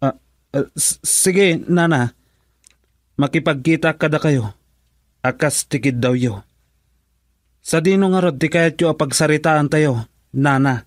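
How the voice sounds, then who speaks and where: Guy acting an edgy evasive husband in a radio drama, halting and really slow, dry close sound. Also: fairly high pitch, no echo, natural voice. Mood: nervous, flustered. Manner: hesitant, halting.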